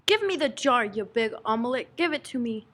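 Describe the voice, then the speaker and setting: A woman playing a long-suffering, sharp-tongued wife in an old-fashioned radio play parody, nagging melodramatically. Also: fairly high pitch, expressive, fairly quick. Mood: scolding, indignant. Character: scolding, long-suffering wife of a boozing husband.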